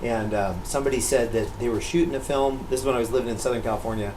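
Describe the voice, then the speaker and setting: A man's voice: medium pitch, steady tone, quick pace, background noise. A middle-aged American man hosting a vlog, talking in a casual narrating and chatting style. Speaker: vlog host.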